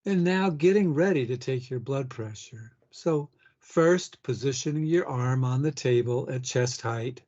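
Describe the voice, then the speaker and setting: A man's voice: mid-range voice, darkish tone. Man guiding a meditation, slightly muffled sound. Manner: unhurried, pausing between short phrases. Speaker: meditation guide. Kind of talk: guided meditation, gentle instruction.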